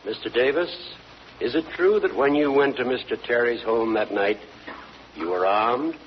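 An actor playing an accusing, insistent prosecutor with formal, pressing questions in an old radio drama. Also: male voice, mid-range voice, normal speed, close to an everyday voice. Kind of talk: 1940s radio drama acting. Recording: muffled, hissy old radio recording.

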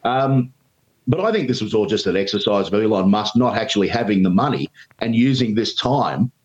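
A man's voice: expressive, a little fast. A man with an Australian accent, a radio talkback host, giving emphatic opinions with frequent ums as a guest. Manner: emphatic, pitch leaps when indignant, frequent ums. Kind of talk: opinionated commentary in an interview. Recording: dull lo-fi remote line.

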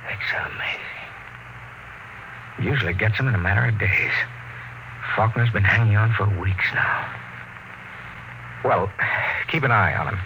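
A grave, low-voiced space captain. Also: male voice, a little slow, pauses often, highs cut off, heavy background noise. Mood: grim. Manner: grave, dramatic. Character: weary, probing male space expedition captain, narrating his ordeal. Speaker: narrator and lead actor. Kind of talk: classic radio drama acting. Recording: old radio recording with music behind.

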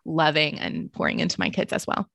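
A woman in her thirties, a ministry leader and author, talking about her life in a reflective, earnest way with soft laughs. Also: sits low, very animated, fairly quick, gravelly, no room sound. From born in Greece, raised in the United States and Romania. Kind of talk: casual interview conversation.

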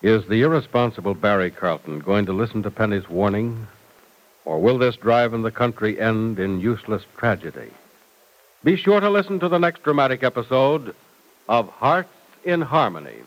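Male radio announcer, dramatic cliffhanger reads, expressive melody. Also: lowish voice, moderate pace, rough recording. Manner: theatrical, suspenseful.

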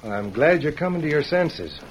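An actor playing a crooked, scheming rancher in an old-time radio western. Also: male voice, fairly high pitch, sing-song, moderate pace. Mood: smug, scheming. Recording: dull, noisy vintage radio recording.

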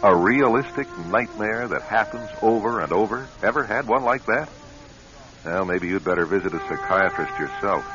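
Man, very low voice, wry radio host, scripted narration, muffled broadcast, loud music behind. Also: expressive, normal speed. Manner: wry, addressing the listener. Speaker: host, writer and director.

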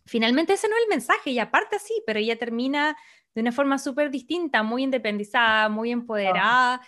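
A young Chilean woman co-hosts a relaxed chat, with a high voice and a Chilean accent. She talks on at length, with plenty of fillers. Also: a little fast. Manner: chatty, reads lists aloud, many fillers. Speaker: podcast co-host. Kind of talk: casual chat.

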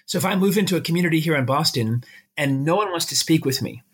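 Middle-aged american guy, kinda high voice, bit raspy, explaining stuff like an academic in an interview. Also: animated, fast. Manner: explanatory, illustrates with everyday examples. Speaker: academic expert guest.

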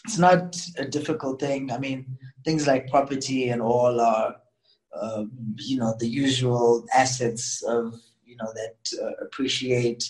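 A man's voice: mid-range voice, fairly even intonation, a little slow, darkish tone, rough recording. A man with a Zimbabwean accent, a musician guest speaking in a casual, conversational interview style.

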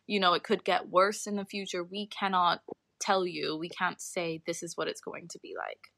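A woman's voice: lowish voice, flat delivery, moderate pace, vocal fry, sharp tone. A young adult woman talking informally as an interview guest, explaining her research and qualifying points as she goes.

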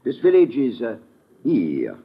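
An actor playing a courteous French botanist with a formal, hesitant French-accented delivery, in old radio adventure style. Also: male voice, medium pitch, wildly swooping pitch, a little slow, slightly rough, exaggerated voice. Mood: obliging, measured. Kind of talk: 1950s radio adventure acting. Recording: dull, noisy old radio recording.

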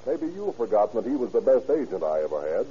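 A man's voice: medium pitch, sing-song, fast, mellow, close to an everyday voice. An actor playing a blunt, exasperated showbiz man with a slangy, no-nonsense delivery in old-time radio style. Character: blunt, exasperated vaudevillian turned screen lover. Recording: muffled vintage radio with faint noise.

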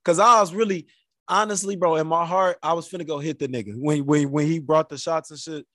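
Slightly high-voiced man, dry close sound. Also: steady tone, a little fast, fairly bright tone, no echo. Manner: laughing, self-mocking storytelling. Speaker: podcast co-host. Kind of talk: casual chat.

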